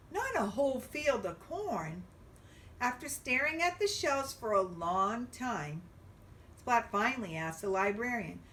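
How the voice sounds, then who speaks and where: A woman narrates a picture book for young listeners in a fairly high voice. She takes it very slowly, stretching words for emphasis with lively swings in pitch. Faint ambient noise sits behind her. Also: recorded from a distance. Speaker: children's storybook reader.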